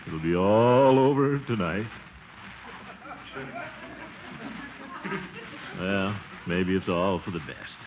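An actor playing a flustered, sentimental middle-aged American bachelor in a theatrical 1940s radio comedy style. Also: male voice, low-pitched, a little slow, noisy background. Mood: wistful, resigned. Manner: theatrical, sighing, exclaiming. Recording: muffled 1940s radio recording with music.

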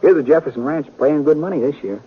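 An actor playing a garrulous small-town blacksmith in a 1950s radio western, with an excitable, folksy rural American manner and a husky voice. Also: male voice, fairly high pitch, quick pace, slight background noise, natural voice. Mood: gossipy. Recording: muffled 1950s radio recording.

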